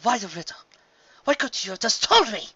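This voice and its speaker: A man's voice: sits high, very animated, brisk, pushed and bright, slightly rough, no echo, lo-fi sound, pitched above the performer's own voice, exaggerated voice. A man voicing a frightened, earnest young princess in a storybook reading, pleading and urgent. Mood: frustrated, desperate.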